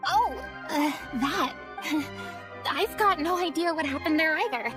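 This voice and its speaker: An actress voicing a proud but easily flustered young former stage star in a video game, speaking in a theatrical, expressive way. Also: female voice, high-pitched, unhurried, over loud music. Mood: nervous, playful. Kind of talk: scripted video game voice acting.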